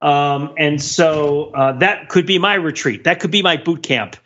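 A slightly high-voiced man chats as a podcast co-host, recorded with a somewhat dull, narrow sound. He wanders through asides in a wry, self-deprecating manner. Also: measured pace, a bit bright. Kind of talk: casual conversational podcast. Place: near his home office.

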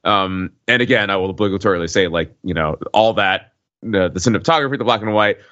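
A man with a low voice co-hosts a podcast, recorded close and dry with a somewhat dull tone. He unpacks films casually, in colloquial phrases and frequent asides, checking in as he goes. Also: fast talker, bright, forceful tone, no room sound. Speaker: podcast co-host. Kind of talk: casual film discussion. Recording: dull, muffled close microphone.

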